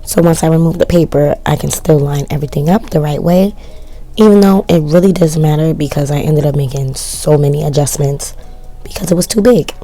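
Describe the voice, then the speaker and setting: Woman, low voice, gravelly fry, worn and cracking, chatty sewing voice-over, self-mocking asides. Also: a little fast, mellow, soft background music. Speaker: sewing vlogger. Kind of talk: casual DIY voice-over narration.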